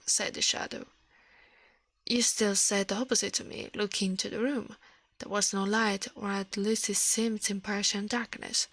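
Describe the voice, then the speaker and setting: A woman reading a story aloud in ASMR style, in a soft breathy whisper, halting now and then over the words. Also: medium pitch, no room sound. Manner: halting reading with stumbles and self-corrections.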